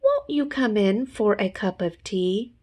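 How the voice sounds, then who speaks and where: A woman's voice: medium pitch, expressive, a little slow, warm tone. A woman playing a naive, friendly, honey-loving toy bear with an innocent, wondering manner in a storybook. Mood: cheerful.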